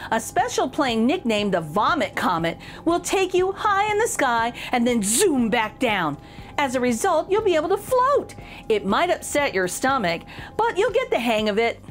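A woman with a high voice reads a children's picture book aloud. Her intonation swings in a lively way, encouraging and emphatic as she speaks straight to young listeners. Faint music plays behind her. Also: unhurried. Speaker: children's storytime reader.

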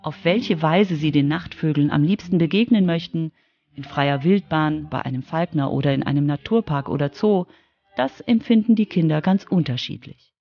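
A woman's voice: sits low, normal speed, highs cut off. A woman narrating a scripted children's radio feature as its reporter. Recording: music under the voice.

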